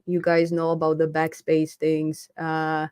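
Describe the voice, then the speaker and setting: A woman's voice: sits low, completely flat delivery, measured pace, a little mellow, clean tone, no echo, studio quality. A young woman in her twenties hosting a live stream, chatting casually and upbeat as she reads out viewer comments, laughing often.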